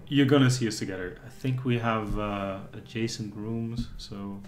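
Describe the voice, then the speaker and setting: A man's voice: fairly low pitch, fairly even intonation, moderate pace, reverberant, faint music behind. A young man, a casino streamer, chatting casually and teasing his co-host. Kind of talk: casual chat.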